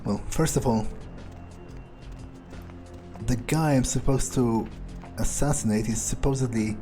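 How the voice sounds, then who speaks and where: A man role-playing a ruthless, casually murderous vampire thinking aloud in improvised game commentary, musing, hesitant and foul-mouthed, with dark amusement. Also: mid-range voice, a little slow, natural voice. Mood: musing, darkly amused. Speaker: gaming streamer role-playing his character. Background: game music.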